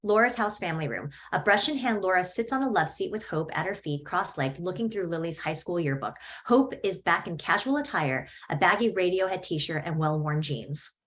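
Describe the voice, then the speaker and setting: A woman reading the stage directions at a script table read, in an even, matter-of-fact way. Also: lowish voice, normal speed, few pauses.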